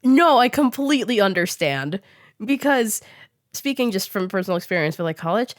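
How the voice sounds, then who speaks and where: A young woman hosts a casual podcast conversation. Her medium-pitched voice is giggly and animated, full of "like" as she talks. The sound is dry, with very little room in it. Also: normal speed, dry recording. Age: young adult. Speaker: podcast host. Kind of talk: casual conversational interview chat.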